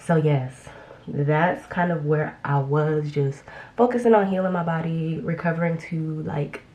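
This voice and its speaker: A young woman with a low, deep voice talks through a casual get-ready-with-me update at home. She sounds a little congested from a recent illness and rambles on with frequent ums and restarts. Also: measured pace, darkish tone. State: slightly congested after illness. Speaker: beauty vlogger.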